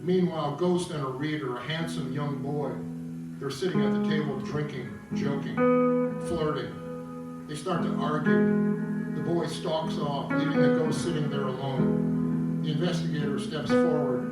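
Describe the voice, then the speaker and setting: A man's voice: on the high side, measured pace. A man narrating a scripted stage piece, reading out scenes and stage directions. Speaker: narrator and reader at an opera table read. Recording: roomy echo, distant mic, loud guitar. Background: loud plucked guitar music.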